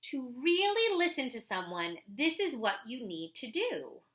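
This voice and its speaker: A woman reading aloud and explaining to children as a teacher, instructive, deliberate and expressive. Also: high voice.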